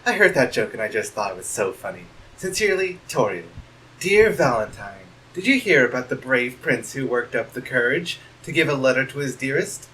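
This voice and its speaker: A man voicing a kind, motherly goat woman who speaks slowly and properly and loves corny jokes. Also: mid-range voice, far from the mic, slight background noise, rough recording. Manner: slow, gentle and proper, fond of corny jokes.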